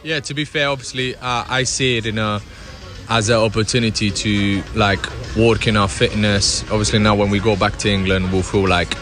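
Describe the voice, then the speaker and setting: A man's voice: fairly low pitch, fairly even intonation, normal speed. A young man from Spain, a footballer, answering interview questions by a pool outdoors, with many restarts and fillers. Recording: music playing behind. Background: music.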